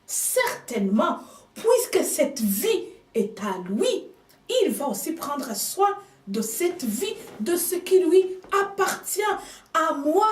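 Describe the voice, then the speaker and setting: Slow, high-voiced woman preaching. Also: big pitch swings, distant mic. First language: another language than French. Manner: slow, emphatic preaching with hushed asides. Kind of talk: sermon. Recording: echoey room, speaker off mic.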